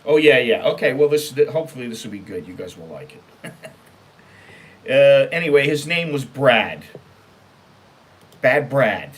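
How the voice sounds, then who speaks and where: A man's voice: medium pitch, very animated, measured pace, pauses often. An American man hosting a casual storytime show, blunt, sweary and exasperated as he reads out and comments on emails. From United States. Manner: emphatic, exasperated. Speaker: online storytime show host.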